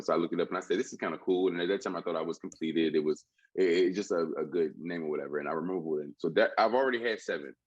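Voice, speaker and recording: A man with a very low, husky voice talks fast and rambles, restarting his sentences as he tells his story. The sound is dry and a little dull, like a lo-fi recording. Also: no echo. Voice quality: deep husky voice. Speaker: rapper guest. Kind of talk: casual interview chat.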